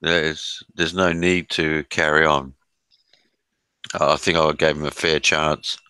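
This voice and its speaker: A man's voice: very deep voice, level tone, slow, sharp tone, smooth, no room sound. A man hosting a live stream from his laptop, chatting casually in a laid-back, rambling way. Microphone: laptop mic.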